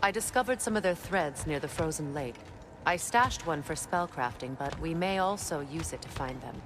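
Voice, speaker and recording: A mature goddess with a fairly low voice, wise and composed. Her delivery is measured and earnest game drama, over clearly audible ambient noise. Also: lively intonation. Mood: calm, matter-of-fact. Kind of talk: video game drama acting.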